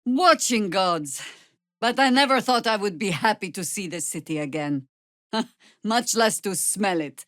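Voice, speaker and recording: An actress playing a world-weary, wry middle-aged veteran druid who leads rebels in a video game, with a dry, measured delivery. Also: female voice, mid-range voice, animated, fairly bright tone, no room sound. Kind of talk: video game character acting.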